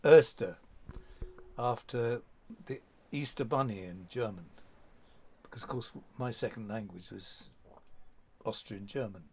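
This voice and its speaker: A man's voice: lowish voice, measured pace, long pauses, darkish tone, husky. Older man telling rambling anecdotes. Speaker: documentary subject. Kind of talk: reminiscing interview.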